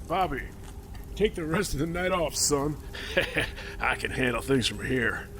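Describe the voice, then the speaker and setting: A man's voice: on the high side, expressive, measured pace, fairly bright tone. A man playing a crude, menacing militia captain with a smarmy, folksy drawl in an amateur audio drama. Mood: casual, callous. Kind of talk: audio drama script reading. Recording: noisy home recording.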